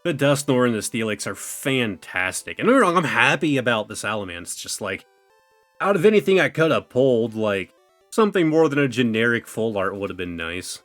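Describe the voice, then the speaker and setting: A man's voice: medium pitch, a bit bright. A young man with a worn, washed-out voice, chatting casually as a host while opening trading cards, rambling and filling his talk with "like" and "you know.". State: voice going out, thin and washed out. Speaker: card-opening YouTuber.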